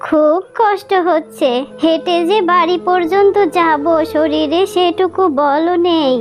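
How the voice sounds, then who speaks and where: A gentle old beggar fox granny from a cartoon , acted by a woman, with a very high voice. She is weary and plaintive, coaxing kindly over faint music. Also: fairly even intonation, measured pace. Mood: plaintive, tender. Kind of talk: Bengali children's cartoon voice acting.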